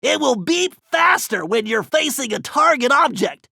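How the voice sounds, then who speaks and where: A man's voice: very high-pitched, sing-song, normal speed, vocal fry, sharp tone, dry recording, studio quality, exaggerated voice. An actor playing a brainy, anxious, nerdy male turtle tech whiz in a video game, with a nasal voice and a precise, pedantic, technical way of talking. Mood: earnest, explaining.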